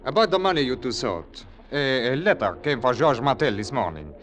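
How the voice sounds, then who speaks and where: An actor playing a courtly, kindly foreign father figure in a 1940s radio drama, halting and gently formal. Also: medium pitch, very animated. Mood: grave, ironic.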